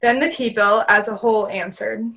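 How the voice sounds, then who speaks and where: A woman's voice: mid-range voice, steady tone, moderate pace, gravelly, fairly bright tone, rough recording. A woman reading scripture as the narrator of a readers theater piece. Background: faint guitar music.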